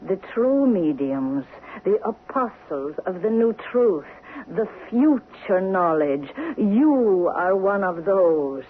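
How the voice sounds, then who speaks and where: Old woman mystic, solemn, deliberate, slow, radio play, thin lo-fi sound. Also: medium pitch, lively intonation. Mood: grave, humbled. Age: older. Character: elderly female fortune-teller medium, grave and humble. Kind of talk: 1970s radio drama acting. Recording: noisy, tinny vintage radio broadcast.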